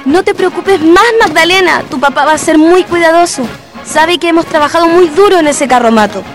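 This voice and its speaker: Bossy, upbeat Chilean girl pal for radio drama, tiny high voice, music behind. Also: fairly quick. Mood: encouraging. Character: perky, bossy, encouraging young Chilean girlfriend. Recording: music under the voice.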